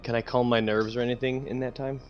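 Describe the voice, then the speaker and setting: A man's voice: mid-range voice, little pitch movement, quick pace, highs cut off. A man talking casually as a player in a tabletop roleplaying game. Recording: background music under the voice.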